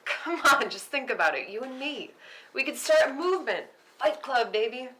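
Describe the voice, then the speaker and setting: Woman acting a high, lively, taunting unhinged young woman. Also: normal speed, a little hiss or hum, poor audio. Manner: mocking, sing-song, goading. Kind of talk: acted table read of a film script.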